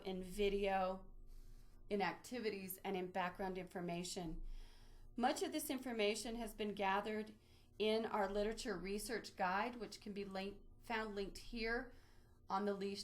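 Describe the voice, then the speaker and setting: A woman presenting an instructional webinar, explaining step by step as she walks listeners through a screen demonstration. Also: mid-range voice. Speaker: webinar presenter, library trainer. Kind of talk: instructional screen-share walkthrough, semi-scripted.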